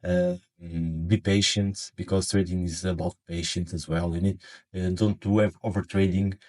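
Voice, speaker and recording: Low, flat-voiced man with Portuguese accent. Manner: halting, many fillers and restarts. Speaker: forex trading educator. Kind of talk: casual advice monologue.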